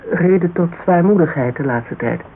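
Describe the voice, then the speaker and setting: An actor playing a polite, probing and discreet interviewer in a Dutch radio drama, asking questions in a formal, measured way. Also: male voice, a little high, animated. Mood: probing, matter-of-fact. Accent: Dutch, Netherlands. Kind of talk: naturalistic radio drama dialogue. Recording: narrow, hissy old radio recording.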